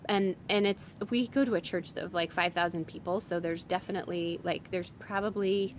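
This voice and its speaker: A woman in her thirties talks through a homeschool planning sheet in a loose, friendly vlog ramble. Her voice is a little worn from a summer cold. A faint low rumble sits under the recording. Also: steady tone, normal speed. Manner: chatty and rambling, many fillers and restarts. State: has a summer cold, voice a bit strained. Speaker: homeschooling mom vlogger. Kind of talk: casual explanatory vlog.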